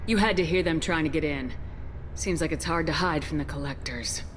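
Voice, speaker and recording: Woman playing a no-nonsense soldier lady in charge, game voice, clipped and steady, noisy behind her. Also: deep voice, brisk, natural voice. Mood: questioning, firm. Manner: firm, clipped, commanding.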